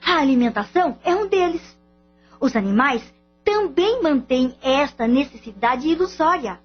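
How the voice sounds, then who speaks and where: An actress plays an earnest, patient woman in a radio play, teaching gently in a high voice. The recording is a little dull, with music playing beneath her. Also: expressive, moderate pace. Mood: earnest, explaining. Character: patient, earnest woman explaining spiritual beliefs. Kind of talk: radio drama acting. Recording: muffled radio drama with music bed.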